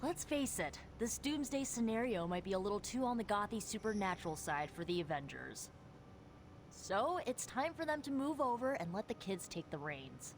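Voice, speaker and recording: A woman's voice: mid-range voice, very animated, normal speed, a little hiss or hum, lightly acted. A woman playing a cocky, slangy young rebel superheroine in a video game, talking casually. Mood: pushy, wry.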